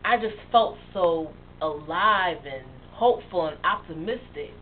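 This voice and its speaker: Earnest young woman confessing to a friend, lowish voice, tinny lo-fi recording, played by an actress. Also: close to an everyday voice. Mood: recounting. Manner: earnest, emotional, halting confession. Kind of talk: dramatic monologue. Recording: tinny phone-like sound with faint hiss.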